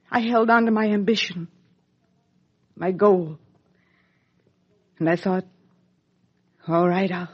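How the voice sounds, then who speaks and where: Muffled 1950s radio drama, remorseful middle-aged mother, husky voice, earnest halting confession, theatrical soap style. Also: medium pitch. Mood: pleading. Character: regretful older actress confessing to her abandoned child. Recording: dull lo-fi 1950s radio recording.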